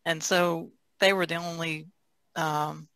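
A man with a slightly high, bright voice and a gravelly creak talks casually on a podcast panel. He sounds conversational and unscripted. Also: flat delivery, slow pace, no echo, rough recording. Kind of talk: casual discussion.

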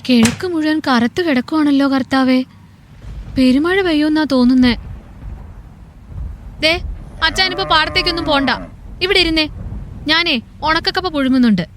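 A woman's voice: high-pitched, moderate pace, long pauses, background noise, natural voice. A woman playing a fretful older village wife. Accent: Kerala Malayalam. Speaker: farmer's wife. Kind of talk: radio drama acting.

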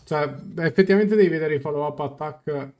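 A man's voice: on the high side, a little fast, a little mellow. A young adult man with an Italian accent, casually commenting on and translating a game as a live streamer. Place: small room at home. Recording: game music faintly behind.

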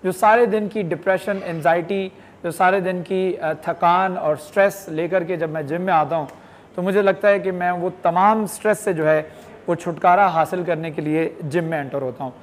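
A man's voice: sits high, normal speed, slight background noise. A man, a fitness vlogger giving an earnest motivational talk to camera, slipping English phrases into his speech. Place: indoor gym with green wall. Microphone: lapel mic. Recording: reverberant gym room.

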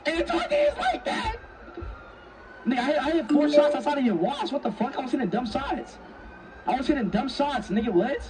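A man playing a roleplay game on stream, excitable, slangy and profane, acting in character. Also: very high voice, a little fast, distant mic, over loud music.

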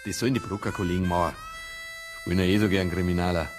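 A male actor plays a persistent Bavarian detective in a radio crime play. His low voice is dry and colloquial, with a Bavarian accent and quiet music underneath. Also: steady tone, fast talker, close to an everyday voice. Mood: businesslike, wry. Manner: dry, colloquial, matter-of-fact.

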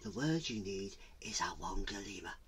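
A storyteller voicing serene, hushed mountain spirits in a whispery, breathy voice for a children's tale. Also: deep voice, animated, moderate pace, voice pushed down.

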